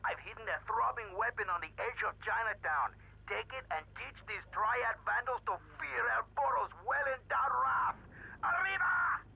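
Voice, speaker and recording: Bombastic gangster on a tinny phone. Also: male voice, very high-pitched, moderate pace, creaky, slightly rough, exaggerated voice. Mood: indignant, theatrical. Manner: pompous, theatrical, florid. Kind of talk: video game phone-call monologue. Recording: tinny, noisy phone line.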